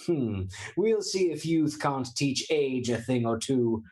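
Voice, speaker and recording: A dry-witted young recruit to an outlaw band. He delivers his lines with level, sardonic calm in a radio play. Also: medium pitch, slow. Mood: wry. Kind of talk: stage radio play acting.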